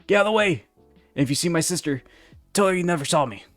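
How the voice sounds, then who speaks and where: A man's voice: on the high side, expressive, fast, faint music behind, voice pushed up, close to an everyday voice. A man voicing a bratty twelve-year-old unicorn colt with a snappy, urgent way of talking. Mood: panicked.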